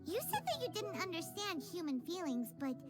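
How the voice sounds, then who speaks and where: Super squeaky little floating fairy buddy, chirpy and excited, music behind. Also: female voice, brisk. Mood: cheerful. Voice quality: squeaky, bouncy childlike voice. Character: tiny floating female companion sprite, chirpy and excitable. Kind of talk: game cutscene voice acting. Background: game music.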